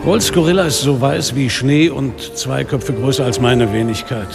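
An actor playing a weary, sardonic middle-aged police inspector, narrating a noir radio drama in a dry, laconic way. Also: male voice, mid-range voice, moderate pace, gravelly, close to an everyday voice. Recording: music behind the voice.

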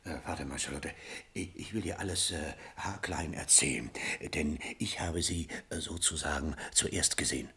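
Rambling, deep-voiced husky peasant bumpkin. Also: male voice, sharp tone, heavily acted. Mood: halting, earnest. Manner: halting, rambling, exclaiming storyteller. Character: simple, boastful, good-natured peasant man telling his tale. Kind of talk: German radio play acting of classic comedy.

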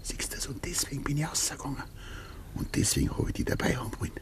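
An actor playing a brooding old Bavarian farmer and father in a radio play, with a gravelly, breathy voice and a hushed, conspiratorial way of talking. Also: mid-range voice, big pitch swings, quick pace, whispered. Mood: hushed, grim. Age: older. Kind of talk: 1950s dialect radio play acting.

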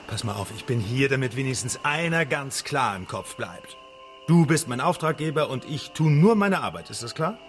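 A man's voice: mid-range voice, expressive, moderate pace, close to an everyday voice. An actor playing a blunt, insistent private investigator who questions his client in a German radio crime drama. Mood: businesslike, commanding. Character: blunt, probing male private investigator, needling and businesslike. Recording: studio radio play with music underneath. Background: music.

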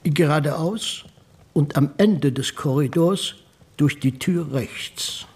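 An actor playing a formal, deferential butler in a radio drama, speaking in a measured way. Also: male voice, fairly high pitch, a little mellow, breathy edge, heavily acted. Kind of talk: radio play acting.

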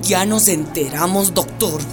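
A woman's voice: on the low side, measured pace, over music, natural voice. An actress playing a sanctimonious, gossipy, pious church lady in a radio drama, accusing and melodramatic.

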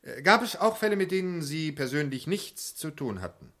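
A man's voice: a little high, sing-song, moderate pace, fairly bright tone, heavily acted. A man playing a formal, insistent courtroom lawyer who questions precisely, in a German radio crime play. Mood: formal, probing.

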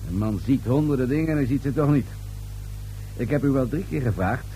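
An actor playing a dryly suggestive police inspector in a radio play, with measured, insinuating questioning. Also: male voice, mid-range voice, lively intonation, dark tone, faint music behind, close to an everyday voice, poor audio. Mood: pressing.

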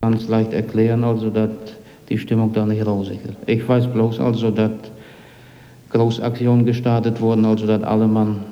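A man from East Germany talking colloquially and haltingly in an interview, often tagging his phrases with a questioning word. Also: fairly low pitch, flat delivery. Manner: repeatedly tags phrases with "nicht?". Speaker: refugee interviewee. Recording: dull, noisy, reverberant old tape.

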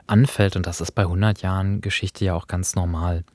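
A man hosts a radio arts discussion in a lowish voice. His delivery is conversational and analytical. The recording is dry, made in a studio. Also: steady tone, a little fast, a little mellow, dry recording. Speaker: radio programme host.

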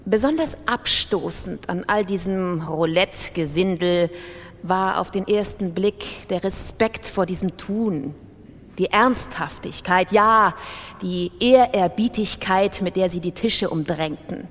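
A self-justifying gambler narrating a radio play, emphatic n scornful, big reverberant hall. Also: female voice, fairly low pitch, slow, a bit bright. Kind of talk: literary first-person reading in radio drama.

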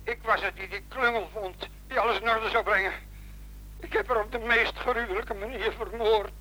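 A man's voice: high-pitched, fairly even intonation, moderate pace, sharp tone, rough recording. An actor playing a reluctant policeman who poses as a desperate caller in a Dutch radio play. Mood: anguished, confiding. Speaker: police officer.

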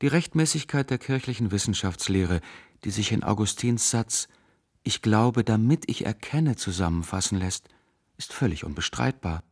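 An actor playing a severe, dogmatic intellectual zealot, a professor who lectures in cutting absolutes, in a radio drama. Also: male voice, on the low side, animated, moderate pace, slightly rough. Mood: stern, dismissive. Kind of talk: German radio drama acting.

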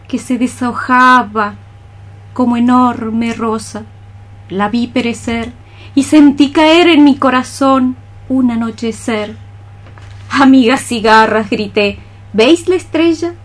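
A woman's voice: high-pitched, takes it slowly. A woman playing a melancholy, dreamy cockroach mystic in radio theatre, sighing her lines as solemn lyrical verse. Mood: mournful.

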